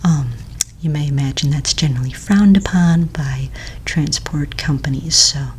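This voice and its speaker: A woman speaking in a soft, breathy near-whisper, playing a courteous, patient office manager in a gentle ASMR roleplay. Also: sits low, a little hiss or hum, poor audio. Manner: gentle, polite, reassuring clerical patter.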